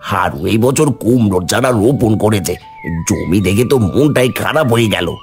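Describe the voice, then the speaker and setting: A man's voice: fairly low pitch, animated, normal speed, soft background music. A man voicing a scheming, envious henchman who is servile to his boss in a village cartoon. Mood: sly, conspiratorial. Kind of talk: cartoon story dialogue.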